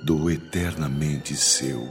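A man's voice: rumbling low, fairly even intonation, slow, heavily acted. An actor playing a desperate, lovesick older Brazilian man in a radio soap, theatrical and anguished. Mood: anguished, pleading. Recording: long hall reverb, music behind.

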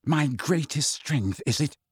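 A man's voice: fairly high pitch, sing-song, normal speed, slightly rough, dry recording. A man voicing an anguished, hot-tempered teenage wizard boy in an audiobook, with a strained, angry delivery. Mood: bitter anger. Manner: loud, strained, shaking with anger. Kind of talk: audiobook character voice.

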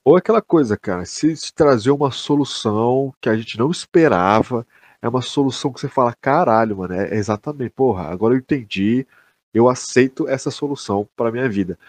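A man's voice: medium pitch, expressive, fairly quick, a little mellow, no echo. A young man with a Brazilian accent, co-hosting a podcast in casual, slangy, sweary talk, often repeating himself. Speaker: podcast co-host. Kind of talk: casual chat.

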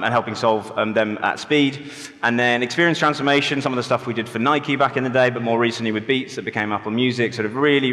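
A man speaking as a conference panelist, relaxed and rambling, full of ums and sort-ofs as he tells his agency's history. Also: medium pitch. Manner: relaxed, rambling with many fillers. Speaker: panelist, agency managing director. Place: conference hall stage. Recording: hall reverb.

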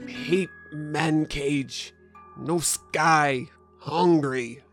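Brutish bear creature, halting words, extremely slow, fantasy audio drama. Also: male voice, on the high side, steady tone, fairly bright tone, full character voice. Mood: miserable. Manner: halting broken speech. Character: simple, brutish, hungry caged bear creature. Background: background music.

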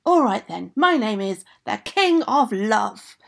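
A woman voicing a mysterious enchanted prince who speaks slowly and deliberately. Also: a little high, big pitch swings, gravelly, fairly bright tone, exaggerated voice. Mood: solemn. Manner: slow, deliberate.

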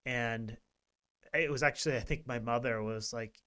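A man's voice: mid-range voice, normal speed, clean tone. Guy cohosting a health podcast, chatty, loose, lots of you knows. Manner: relaxed, rambling with many fillers. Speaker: podcast co-host. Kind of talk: casual chat.

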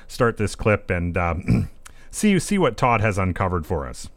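A man hosts a commentary show in a low, relaxed voice. He talks casually, stalling with fillers and clearing his throat between phrases. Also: measured pace. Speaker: show host.

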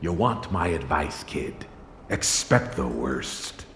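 A man's voice: low voice, lively intonation, slow pace, creaky, roomy sound, big-room sound, background noise, heavily acted. Herb, a man voicing a doom-saying old sailor. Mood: glum. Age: older. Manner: grim, drawling, fatalistic. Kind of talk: animated musical comedy.

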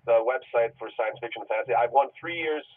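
A man's voice: mid-range voice, quick pace. A man from Jamaica, an independent film director, telling rambling jokey stories in a casual interview. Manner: rambling, joking storyteller. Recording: tinny distant phone line.

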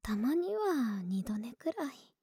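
A woman playing an affectionate, sleepy young neighbor in an intimate ASMR voice drama, speaking softly and tenderly, often in whispers, very close to the microphone. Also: a little high, very animated, no room sound, full character voice. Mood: affectionate, drowsy. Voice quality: soft, breathy, often whispered. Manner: slow, tender, coaxing, drawn-out drowsy words. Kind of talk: Japanese ASMR situation voice drama, intimate and soothing. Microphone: very close ASMR microphone, dry sound.

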